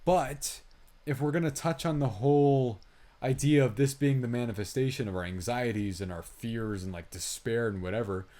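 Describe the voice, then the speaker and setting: Slangy man reviewing films, mid-pitched voice. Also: normal speed, warm tone. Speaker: podcast co-host and film reviewer. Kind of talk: casual film review chat.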